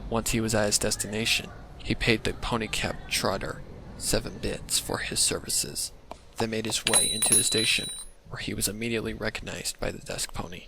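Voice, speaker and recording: A man narrating a scripted story in a measured, dramatic way. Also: on the low side, level tone, gravelly, background noise. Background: loud music.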